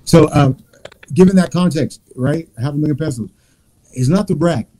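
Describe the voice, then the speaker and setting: A man's voice: a little high, normal speed, warm tone, low-quality recording. A Liberian-born man on a video call, talking casually as a guest and rambling, with repeated words and lots of fillers. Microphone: laptop webcam on video call.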